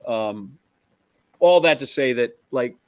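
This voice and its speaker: A middle-aged man with a medium-pitched voice in a dry, close sound. He gives opinions on sports casually, circling and repeating words as he goes. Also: lively intonation, no echo. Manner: rambling, halting phrasing with repeated words. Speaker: podcast co-host and TV sportscaster.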